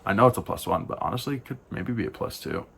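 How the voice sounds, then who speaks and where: Deep gravelly man rambling through album reviews. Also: animated, fast, fairly bright tone, a little hiss or hum. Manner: rambling, frank, self-correcting with sighs and asides. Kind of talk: casual spoken album rundown.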